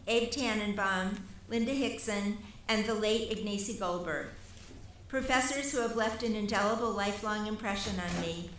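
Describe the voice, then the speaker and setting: Female professor, fairly high voice, formal read acceptance speech, roomy echo. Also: fairly bright tone. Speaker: professor accepting an award on another's behalf. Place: large ceremony hall. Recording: echoey hall, faint noise.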